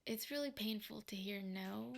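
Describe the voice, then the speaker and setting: A young woman whispering softly in a slow, rambling way, chatting ASMR-style at home. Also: medium pitch, gravelly. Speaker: vlogger. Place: quiet home, indoors.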